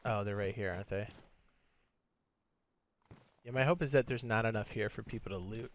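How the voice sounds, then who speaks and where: A man's voice: lowish voice, normal speed, slight background noise. A man streaming a video game, talking casually and thinking aloud as he plays. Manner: relaxed, chatty, thinks aloud while playing. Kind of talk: casual live gameplay commentary.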